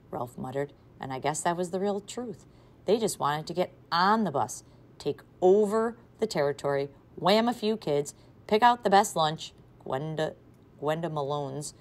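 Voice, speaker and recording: A woman teacher reading a children's novel aloud to a class, with expressive, varied loudness. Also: low-pitched. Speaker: third grade teacher.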